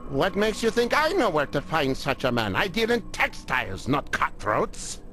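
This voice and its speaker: An actor playing a florid, lecherous and cowardly old wealthy merchant in a video game. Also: male voice, on the high side, big pitch swings, moderate pace, pushed and bright. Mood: evasive. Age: older. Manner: florid, blustering, stammering when cornered. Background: music.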